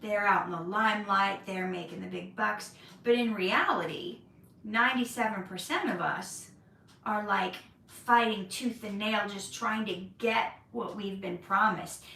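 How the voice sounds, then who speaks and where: A woman's voice: mid-range voice, unhurried, vocal fry, bright, forceful tone, recorded from a distance. An actress chatting candidly in a casual Q&A, laughing easily as she answers questions. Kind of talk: casual livestream Q&A chat. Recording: roomy echo, voice from off-mic.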